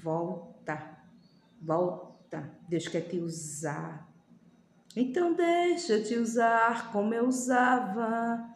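A woman in her thirties from Brazil, giving a devotional sermon to her phone camera, fervent and pleading, often slowing down for emphasis. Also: fairly high pitch, animated. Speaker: lay preacher. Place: small room indoors. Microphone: phone camera at arm's length. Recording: echoey room with faint background hum.

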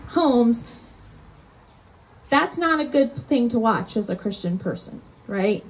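A woman's voice: high voice, low-quality recording. A woman, preaching a conversational sermon outdoors at a church entrance into a mic on a stand, often asking the congregation rhetorical questions. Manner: animated hand gestures while preaching. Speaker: pastor.

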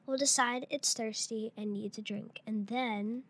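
A girl of about eight or nine, a third-grade pupil, reading a picture book aloud in a halting way. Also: a little high, steady tone, breathy edge, no echo. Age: child, around eight or nine.

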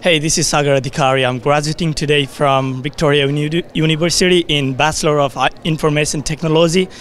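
Higher-pitched young man, informal. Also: fairly even intonation, quick pace, a bit bright. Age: young adult. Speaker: graduating student. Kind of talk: informal message to camera. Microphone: handheld microphone.

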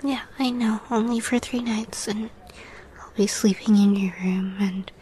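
A woman playing a shy, sweet young catgirl roommate in a girlfriend roleplay, soft-spoken and hesitant, often slipping into a whisper. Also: medium pitch, fairly even intonation, unhurried, slight background noise, lightly acted. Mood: hushed, shy. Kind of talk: girlfriend roleplay audio acting.

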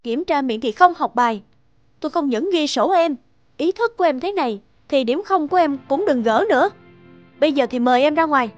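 A woman playing a strict, cutting schoolteacher in an animated drama, curt and formal as she reprimands. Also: high-pitched, brisk, highs cut off, soft background music. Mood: stern, cold.

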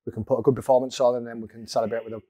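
A man in his forties, a football manager, giving a measured post-match interview into a lapel mic in a small indoor room, with frequent ums. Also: mid-range voice. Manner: steady, measured, many ums. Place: small indoor room in front of a sponsor board.

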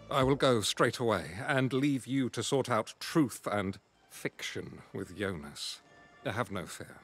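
An actor voicing a courteous, self-assured knight in a fantasy game, speaking formally and with measured gallantry. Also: male voice, on the low side, fairly bright tone, husky, full character voice. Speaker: game character.

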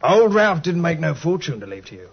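An actor playing a coarse, leering, mocking man with crude speech and a husky voice in a 1950s radio drama. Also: a little high, very animated, a little fast, full character voice. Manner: crude, jeering, ungrammatical speech with chuckles. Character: coarse, leering, mocking red-moustached man back from Australia. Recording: dull old 1950s radio recording.

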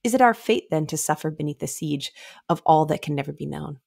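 Low-voiced young woman. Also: animated, fairly quick, vocal fry, a little mellow, husky. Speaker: podcast co-host. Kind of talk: casual book discussion with read-aloud passages. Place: indoor room, remote video call. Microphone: close studio microphone.

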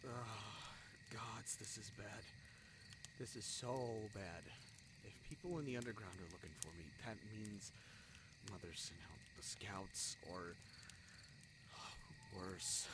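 A man voicing a young spider adventurer and secret lost prince in a fantasy audio roleplay, snarky, anxious and haltingly flustered. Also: fairly low pitch, very noisy. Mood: anxious, muttering. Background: crickets, night forest ambience.

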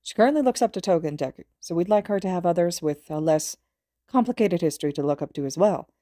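A woman voicing a big, kindly adult male hero who speaks calmly and politely in a read-aloud story. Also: lowish voice, fast, dry recording, studio quality, natural voice.

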